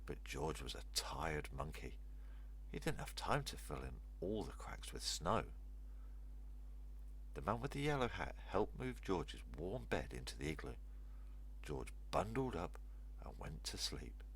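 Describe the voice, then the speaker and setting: An older man reads a children's story aloud in a low voice with a gravelly creak. His intonation moves up and down in a lively storyteller's way. A faint hum sits behind the narration. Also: measured pace, poor audio.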